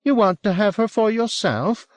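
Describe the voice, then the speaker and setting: A man's voice: high-pitched, very animated, measured pace, a little mellow, no room sound, voice pushed up, exaggerated voice. A man voicing a wretched, suspicious young educated Englishman for an audiobook, agitated and pleading, with an English accent. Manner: agitated, pleading, breathy protests. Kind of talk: audiobook character acting.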